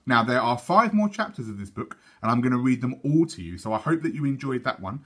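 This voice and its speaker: A man reading a children's story aloud like a teacher, in a measured, expressive way. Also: mid-range voice, breathy edge. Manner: measured, animated.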